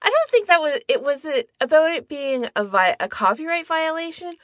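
A woman's voice: high voice, sing-song, moderate pace, a bit bright, no echo. A woman co-hosting a podcast in a casual discussion style. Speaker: podcast co-host.

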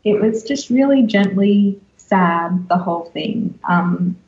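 Female podcast host, wry and casual, mid-to-higher everyday voice, slightly muffled lo-fi recording. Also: takes it slowly, darkish tone. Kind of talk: casual podcast chat, giving recommendations.

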